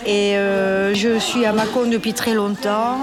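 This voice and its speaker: A woman in her sixties with a southern French accent, interviewed as a salon client, speaking reflectively with hesitant pauses. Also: mid-range voice, flat delivery, a crowd in the background. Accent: southern French (Midi). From southern France. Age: 60s. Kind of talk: radio interview. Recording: music playing behind. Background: music.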